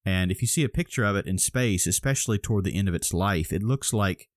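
A man's voice: deep voice, lively intonation, fast, no room sound, studio quality. A man co-hosting a podcast, explaining a topic step by step in casual chat, a little groggy. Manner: explains step by step with many restarts. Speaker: podcast co-host.

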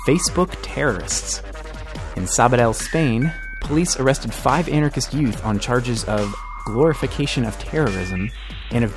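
Mid-pitched man reading scripted news. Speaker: podcast co-host.

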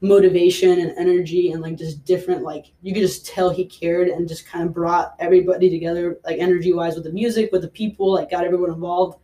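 A man's voice: high voice, flat delivery, fast talker, hardly any pauses, dark tone, low-quality recording. A young man from Mexico, a personal trainer, chatting casually as a guest and stringing thoughts together with lots of "like". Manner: rambling, filler-heavy, says "like" a lot. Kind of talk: casual podcast interview.

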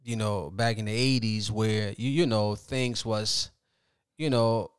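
A man in his thirties from Liberia, telling his story as a podcast guest in a reflective, unhurried way. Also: fairly low pitch, clear, resonant tone, dry recording, clean studio sound. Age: 30s. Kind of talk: casual interview.

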